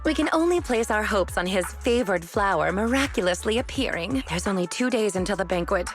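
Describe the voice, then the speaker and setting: Lady doing a polite earnest housekeeper for a game, formal, normal pitch, poised. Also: lively intonation, a little fast, soft background music, close to an everyday voice. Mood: concerned, formal. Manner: formal, composed. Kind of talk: scripted video game voice acting.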